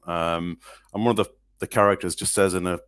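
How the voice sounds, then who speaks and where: A man's voice: low voice, fairly even intonation, a little fast, a bit bright, clean tone, no room sound, studio quality. A man from northeast England, co-hosting a podcast, chatting loosely about songs with many hesitations and restarts. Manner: rambling, hesitant, full of restarts and fillers. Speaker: podcast co-host. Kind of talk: casual chat about music.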